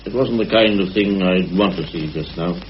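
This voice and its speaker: A man's voice: deep voice, lightly acted. Muffled, deferential police sergeant, acted by a man. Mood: guarded, respectful. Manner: respectful, guarded, measured. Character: deferential, reserved male village police sergeant. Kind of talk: old British radio drama acting. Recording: muffled, faintly noisy old radio recording.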